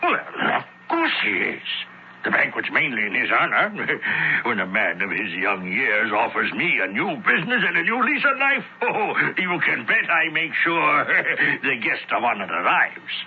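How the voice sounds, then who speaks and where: Give the radio play a jovial, indulgent merchant father. His speech is flustered and blustering, with big swings in pitch. The old recording is dull with faint noise. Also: sing-song, a little slow. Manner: hesitant, chuckling bluster. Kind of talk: old-time radio drama acting.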